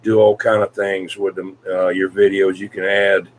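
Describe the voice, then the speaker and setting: A man in casual CB radio chat, talking in a folksy, rambling, hesitant way with frequent pauses. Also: low voice, little pitch movement, slight background noise. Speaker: CB radio hobbyist, video maker.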